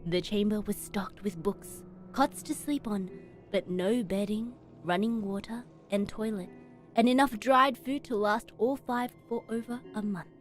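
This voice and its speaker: A woman streamer reading a horror story aloud in expressive, dramatic narration with emphatic pauses. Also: medium pitch. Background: background music.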